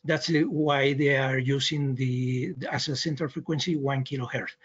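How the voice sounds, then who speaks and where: A man giving an unscripted webinar explanation in halting, non-native English, often repeating words as he searches for phrasing. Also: mid-range voice, fairly even intonation, a bit bright, breathy edge, muffled recording. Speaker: loudspeaker brand representative presenting.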